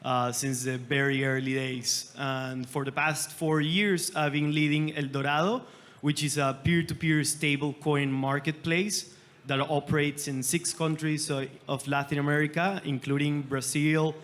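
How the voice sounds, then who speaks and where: A man's voice: a little high, level tone, unhurried, fairly bright tone. A man from Venezuela introducing himself as a panelist at a conference, upbeat, with frequent fillers. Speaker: panelist, startup founder. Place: conference stage.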